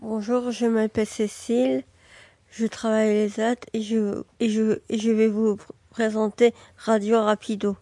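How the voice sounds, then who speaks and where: Shy woman participant, flat and mellow, dry sound. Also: a little high, moderate pace, no room sound. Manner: shy, restarting words. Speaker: show participant.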